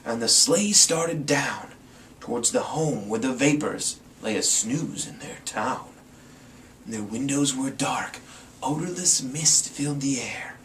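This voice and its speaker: A man's voice: medium pitch, unhurried, fairly bright tone. A man giving a playful, theatrical dramatic reading of a rhyming Christmas story as the storyteller. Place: room with a green screen. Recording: distant mic, some background noise.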